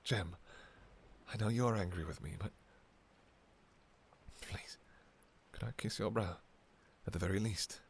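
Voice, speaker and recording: Male actor for an ancient vampire king, romantic audio roleplay: low-pitched, unhurried, soft, halting, devoted and sentimental. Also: slight background noise. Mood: pleading, earnest. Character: tender, devoted two-thousand-year-old vampire king, doting father.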